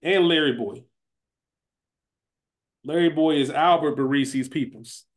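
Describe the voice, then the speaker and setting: A man's voice: a little high, unhurried. A man chatting casually as a podcast co-host, explaining things in an informal, slangy way. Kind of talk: casual chat, fantasy draft banter.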